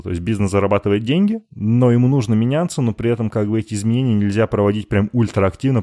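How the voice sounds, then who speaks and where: A man from Chelyabinsk, a podcast guest talking in a quick, chatty, joking way. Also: fairly low pitch, mellow, no echo, clean studio sound. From Chelyabinsk, Russia. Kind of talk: casual interview chat.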